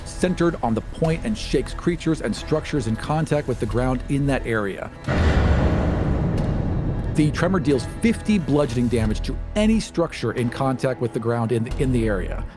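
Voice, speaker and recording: A man's voice: mid-range voice, lively intonation, moderate pace, over music. A man co-hosting a tabletop gaming show, speaking in earnest, articulate, formally phrased sentences. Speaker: show co-host and player. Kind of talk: tabletop role-play talk and sincere appeal.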